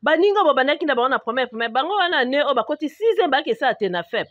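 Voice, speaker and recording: A woman with a Congolese accent playing an indignant, scolding market-trader mother in an animated comedy. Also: high-pitched, quick pace, dry recording, highs cut off.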